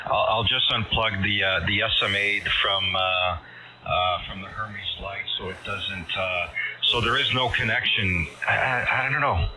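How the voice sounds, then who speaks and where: A man chatting casually about technical matters over amateur radio, speaking in a halting, hesitant way through a shortwave transmission. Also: on the low side, steady tone. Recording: noisy narrow-band ham radio signal.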